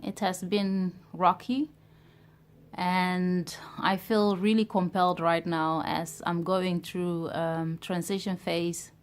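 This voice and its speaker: A woman from Ghana in her thirties who grew up in Europe, talking to camera in a reflective, hesitant way about starting a business. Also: on the low side. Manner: reflective, hesitant, many pauses and fillers. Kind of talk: personal vlog talk to camera.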